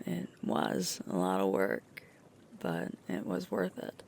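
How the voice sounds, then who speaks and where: A sweet, affectionate werewolf girlfriend acted by a woman in an intimate ASMR roleplay. Her voice is breathy and often drops to a whisper, with a very gravelly creak. The pitch roams widely as she talks in a tired, tender way. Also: heavily acted.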